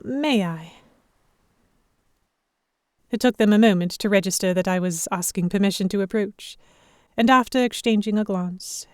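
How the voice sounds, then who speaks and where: A woman's voice: medium pitch, darkish tone, dry recording. A woman giving scripted first-person narration for a historical audio drama. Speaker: narrator and lead actor.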